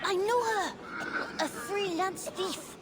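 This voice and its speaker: Young princely boy, game drama, female actor: high-pitched, earnest, eager, pleading, in long reverb. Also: slow, a crowd in the background. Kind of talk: dramatic video game acting.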